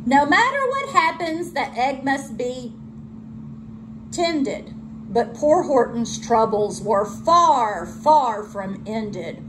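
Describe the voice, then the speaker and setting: A woman reads a children's story like a schoolteacher reading to a class. Her high voice moves slowly and swoops expressively through the narration. There is clear noise in the recording. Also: very animated. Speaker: elementary school teacher reading aloud.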